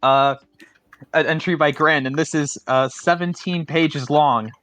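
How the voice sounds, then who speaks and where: A man's voice: on the high side, steady tone, fairly bright tone. Man hosting a casual, sweary livestream. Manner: sweary, jokey, theatrical pauses. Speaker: host and judge of a fanfiction contest stream. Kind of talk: casual group livestream chat.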